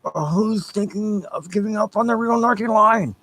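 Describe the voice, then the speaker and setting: A man's voice: sits high, normal speed, a bit bright, voice pushed up. A man voicing a dismissive, evasive railroad company president, speaking with defensive, hesitant bluster in an audio play. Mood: defensive, resentful.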